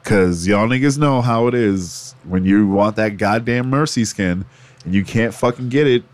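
A man co-hosting a casual esports podcast, cursing freely and laughing through animated banter. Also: fairly low pitch, normal speed. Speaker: podcast co-host.

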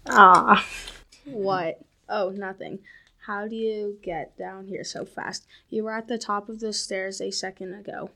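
A woman playing a sensible, polite young girl who reasons calmly with her brother. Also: mid-range voice, a little slow, creaky. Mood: nervous, polite.

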